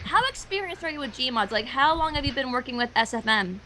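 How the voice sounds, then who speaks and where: A woman's voice: high-pitched, lively intonation, brisk, bright, forceful tone, a little hiss or hum. A woman chatting casually on an online voice call in an eager, tentative way. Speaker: amateur voice actor, livestream guest. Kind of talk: casual chat.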